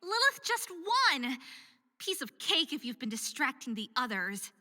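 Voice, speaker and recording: A woman's voice: sits high, big pitch swings, measured pace, pushed and bright, no echo, studio quality, full character voice. A young woman voicing a hot-headed, distraught young faerie skater in a visual novel game, with a dramatic, emphatic delivery. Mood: indignant. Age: young adult.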